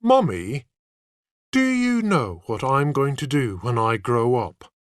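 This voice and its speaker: A man's voice: medium pitch, very animated, takes it slowly, dry recording, clean studio sound. A man voicing an earnest, self-assured little boy in a storybook. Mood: eager.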